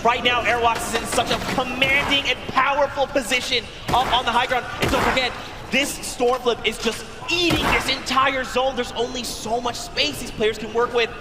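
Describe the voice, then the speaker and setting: A young man doing live play-by-play commentary on a headset mic, excitable and rising to shouts, his voice going hoarse. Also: very high-pitched, normal speed, loud music behind the voice. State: losing his voice. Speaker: esports caster. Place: large arena stage with live audience.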